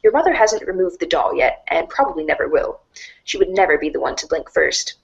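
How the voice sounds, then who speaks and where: A woman voicing a wry, mock-grandiose storybook narrator in a dramatic read-along style, deadpan and sardonic. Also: on the low side, brisk, muffled recording. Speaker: narrator of a fan readers' theater show.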